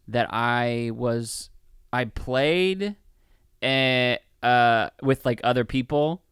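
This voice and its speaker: A man's voice: medium pitch, a bit bright, clean tone, no room sound, studio quality. A man hosting a movie podcast in casual chat, joking and swearing, with the odd mock-announcer flourish. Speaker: podcast host.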